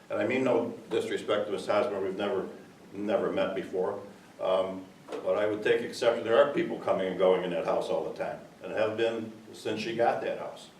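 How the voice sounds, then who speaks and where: Older man speaking up at a village meeting. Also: fairly low pitch. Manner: earnest, rambling with fillers, loud. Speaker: resident giving public comment. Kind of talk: public comment at a village meeting. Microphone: far room mic. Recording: echoey hall, distant mic, faint hiss.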